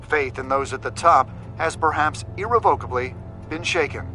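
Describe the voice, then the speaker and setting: Male news reporter, game broadcast: formal, grave, slightly high register, music bed. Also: normal speed, pushed and bright.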